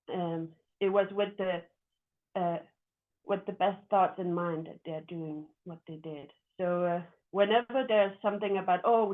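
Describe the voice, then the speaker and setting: Impassioned woman on a tinny call. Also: on the low side. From Greenland. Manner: earnest, impassioned, frequent hesitations. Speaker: panelist. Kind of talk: panel discussion. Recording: tinny phone-like video call audio.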